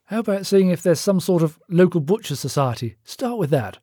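A blunt, matey office boss played by a male narrator in an audiobook story. He is bossy and brash, handing out orders in a raised pitch, with a subdued tone and a very dry, close sound. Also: animated, a little fast, mellow, slightly rough, no room sound. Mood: brisk, instructing.

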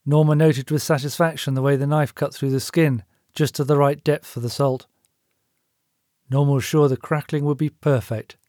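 A man's voice: a little high, steady tone, normal speed, a little mellow, dry recording, clean studio sound. A man reading scripted fiction as an audiobook narrator.

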